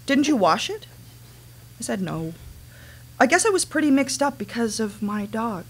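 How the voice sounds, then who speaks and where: A wounded, resentful grown daughter, read on stage by a woman confronts her mother. Her voice sits fairly high. She speaks earnestly and haltingly, as in a dramatic reading. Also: sing-song, slightly rough, heavily acted. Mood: hurt recounting. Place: small dimly lit stage venue. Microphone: microphone on a stand.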